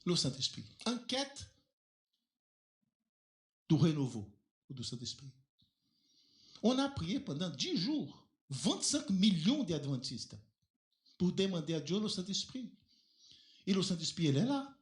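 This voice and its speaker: A man preaches a sermon whose pitch swings widely as he throws rhetorical questions at his congregation. He speaks as a native Portuguese speaker, and his voice rings through an echoey church hall. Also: big pitch swings, measured pace, pauses often. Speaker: pastor.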